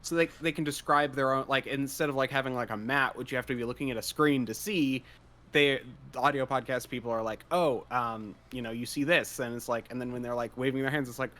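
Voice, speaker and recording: A man's voice: mid-range voice, fairly even intonation, brisk, a bit bright. Rambling man in casual chat. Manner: rambling, many fillers.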